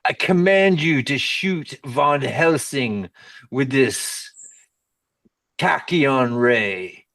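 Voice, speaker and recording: Man playing a slow, grandiose mad scientist. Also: mid-range voice, animated, gravelly, a bit bright, heavily acted. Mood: exasperated, commanding. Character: grandiose male mad scientist bent on world domination. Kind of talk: amateur radio comedy sketch read-through. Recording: roomy echo, distant microphone.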